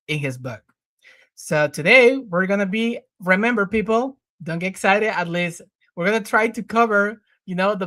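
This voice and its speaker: A man's voice: on the high side, a bit bright, dry recording. Rambling male podcast host, dry sound. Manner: rambling, many fillers and restarts. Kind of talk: casual podcast interview.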